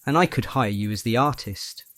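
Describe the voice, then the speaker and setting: Man playing a measured, bookish young roommate. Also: mid-range voice, fairly bright tone, no echo, clean studio sound, lightly acted. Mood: friendly, thoughtful. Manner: measured, slightly formal phrasing. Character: blond young man, tidy bookish early-rising roommate, gently teasing. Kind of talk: audio drama acting.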